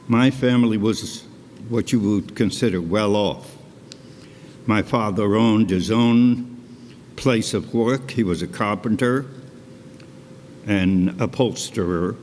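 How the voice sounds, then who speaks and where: An older man, his voice sitting fairly low, talks slowly and deliberately through his own life story. He drops chatty asides to a live audience. His words ring out with a long hall reverb and a faint hum behind them. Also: darkish tone. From Poland. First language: Polish. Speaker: stage storyteller and cantor. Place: concert hall stage.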